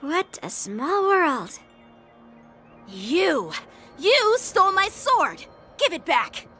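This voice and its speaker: An earnest young boy swordsman, with a bright, very high voice that swings animatedly. He is a polite but hot-headed game character, quick to indignation. Faint music sits behind him. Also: sing-song, heavily acted. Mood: indignant. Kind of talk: scripted video game story dialogue.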